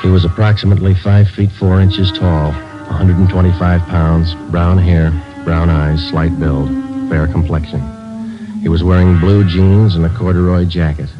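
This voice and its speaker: A stoic American police sergeant, played by a man in a vintage radio drama. His voice is very low, and he delivers everything in terse, matter-of-fact statements. The old recording is dull, with music underneath. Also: little pitch movement, moderate pace, lightly acted. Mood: flat, matter-of-fact. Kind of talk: 1940s radio acting, clipped case narration.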